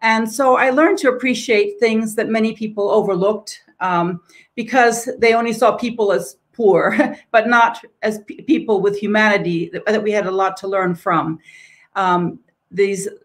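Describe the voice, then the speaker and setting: A woman's voice: medium pitch, fairly even intonation, moderate pace. A woman in her sixties, a guest scholar answering on a video call in a reflective, storytelling way from a home office. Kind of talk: interview answer.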